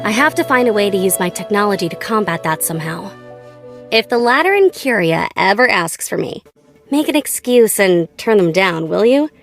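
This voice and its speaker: A woman's voice: mid-range voice, lively intonation, moderate pace, close to an everyday voice. An American actress voicing a playful, nonchalant young female gun-tech tinkerer in a video game, with a light, girlish, teasing delivery. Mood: pensive, then matter-of-fact. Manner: teasing, breezy, casual. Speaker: voice actor for a video game character. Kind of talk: scripted game character voice lines. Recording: studio voice over background game music. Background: background music.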